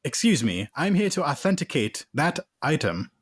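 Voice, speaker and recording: A man's voice: fairly high pitch, very animated, normal speed, breathy edge, pitched above the performer's own voice. Man playing a self-important woman con artist. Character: confident female con artist posing as authenticator.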